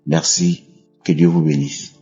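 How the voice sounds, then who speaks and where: A man giving an earnest, thankful testimony with pauses. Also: low voice, wildly swooping pitch, gravelly, dark tone, slightly rough, highs cut off, soft background music. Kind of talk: spoken testimony.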